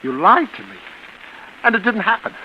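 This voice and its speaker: A man's voice: sits high, very animated, normal speed. An actor playing a guilt-ridden, wary male houseguest in a 1940s radio drama, earnest, hesitant and anguished. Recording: muffled, noisy old radio broadcast.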